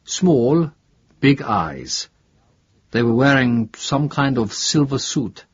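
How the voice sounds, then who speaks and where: A man voicing an older, guarded male chemistry professor in an audiobook, with a halting, deliberate, emphatic delivery. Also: medium pitch, animated, breathy edge, muffled recording. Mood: awed, halting. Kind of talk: audiobook character dialogue.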